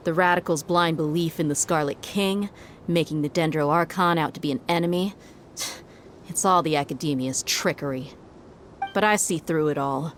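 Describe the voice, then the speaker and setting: An actress playing a shrewd, confident desert mercenary in a video game cutscene, with a wry, matter-of-fact delivery. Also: female voice, low voice, a little hiss or hum, lightly acted. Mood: sincere. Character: shrewd, self-assured adult female desert mercenary. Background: background game music.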